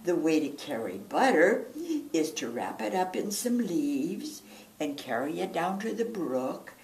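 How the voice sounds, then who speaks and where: A woman voicing an exasperated possum mother in a children's storybook, scolding in an emphatic, drawn-out way. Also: deep voice, sing-song, a little mellow, full character voice. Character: scolding, exasperated, doting possum mama with Southern folksy talk. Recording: roomy, distant mic, faint noise.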